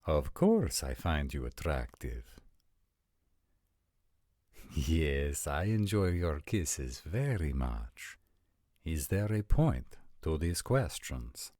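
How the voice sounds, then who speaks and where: Courtly vampire prince, acted by a man, very deep, slow, formal, intimate, fantasy audio drama. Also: sing-song, dark tone, no room sound, studio quality, exaggerated voice. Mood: intimate, probing. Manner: formal, deliberate, intimate phrasing. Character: courtly, measured male vampire prince, softly amused.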